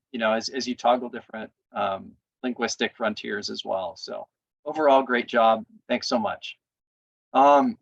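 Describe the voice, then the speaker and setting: A man's voice: mid-range voice, gravelly. A man in his thirties or forties giving encouraging, informal feedback as a judge over a video call. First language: English. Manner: encouraging, colloquial. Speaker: competition judge. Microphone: video call microphone. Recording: dull video-call audio.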